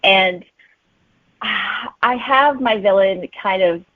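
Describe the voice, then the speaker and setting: A woman's voice: medium pitch, extremely slow, dry recording, narrow, tinny audio. A woman chatting casually as an author being interviewed, heard over a thin remote connection.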